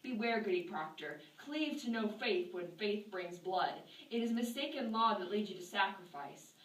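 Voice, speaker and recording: A woman's voice: mid-range voice, expressive, normal speed, fairly bright tone, a little hiss or hum, natural voice. A woman playing an anguished male Puritan minister burdened by guilt, earnest and impassioned, in an amateur stage play. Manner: earnest, impassioned preaching delivery. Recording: echoey room, distant mic.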